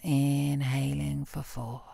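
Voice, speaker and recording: A British woman guides a relaxation meditation in a strikingly deep voice. She is calm and soothing, with a soft, creaky edge. The recording is close and dry. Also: no room sound. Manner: calm, soothing, gently instructing with long pauses. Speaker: yoga nidra meditation guide.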